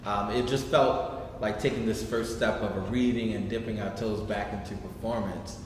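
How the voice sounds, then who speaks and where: A man's voice: on the low side, steady tone, moderate pace. A man hosting an informal post-show question and answer session at a staged reading. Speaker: host of a staged reading discussion. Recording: echoey noisy hall, distant mic.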